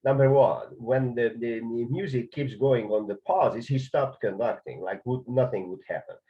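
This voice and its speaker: A man's voice: mid-range voice, moderate pace. A man with a Hungarian accent explaining conducting in a video lesson, hesitant, with many filler sounds and restarts. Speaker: conducting teacher. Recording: dull lo-fi sound.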